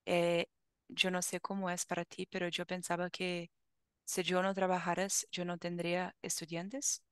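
Young woman, language teacher, headset video call, smooth voice, dry close sound. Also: lowish voice, flat delivery, no room sound. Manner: slow, careful, encouraging teacher talk. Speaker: Spanish teacher. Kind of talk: online language lesson chat.

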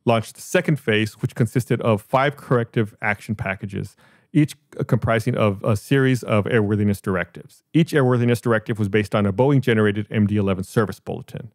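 A man's voice: fairly low pitch, brisk, no room sound, studio quality. An American man hosting a podcast, reading out and explaining a report in a matter-of-fact way. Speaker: podcast host.

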